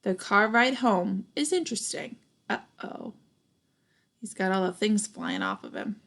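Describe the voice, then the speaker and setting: Young lady doing a kids book read aloud, takes it slow, bit of gravelly fry, asks the listeners stuff. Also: medium pitch. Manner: expressive, deliberate, questions and comments to young listeners. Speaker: storybook reader, teacher.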